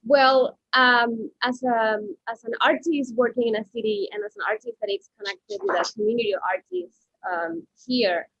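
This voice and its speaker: A woman from Venezuela, a painter and art educator, answering interview questions at home in a halting way, with many restarts. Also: fairly high pitch, gravelly, a bit bright, no room sound, dull audio.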